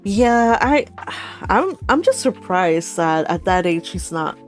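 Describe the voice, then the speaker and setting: A woman's voice: mid-range voice, lively intonation, takes it slowly. Giggly woman chatting over music. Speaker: read-along host. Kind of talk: casual discussion of a book.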